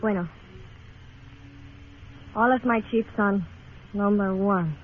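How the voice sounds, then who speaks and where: Scheming saloon seductress, played by an actress, sultry coaxing delivery, narrow vintage radio western sound with faint noise. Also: female voice, mid-range voice, slow pace, lightly acted. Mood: coy, reluctant. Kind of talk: old-time radio western acting. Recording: tinny old radio recording, faint noise.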